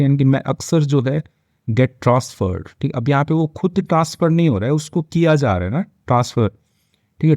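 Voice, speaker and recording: A man's voice: mid-range voice, warm tone, no echo. An Indian-accented man teaching English, reading a story line by line and explaining it in colloquial asides.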